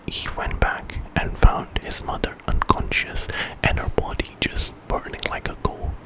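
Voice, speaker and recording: A man telling a bedtime story in ASMR style, in a breathy whisper and an informal, conversational way. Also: a little slow, low-quality recording. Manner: informal retelling with quoted dialogue.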